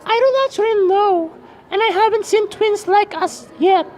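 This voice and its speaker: A woman's voice: very high-pitched, normal speed, a little mellow. A woman playing a curious, eager young child in an amateur puppet show, asking questions and exclaiming. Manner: inquisitive, exclaiming.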